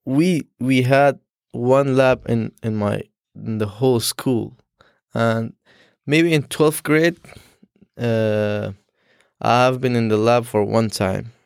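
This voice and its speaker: A young man from Afghanistan whose first language is Dari Persian, speaking as a student guest in an interview, halting with frequent restarts and fillers. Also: medium pitch, vocal fry, darkish tone, clean tone, no room sound, studio quality. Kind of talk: casual interview.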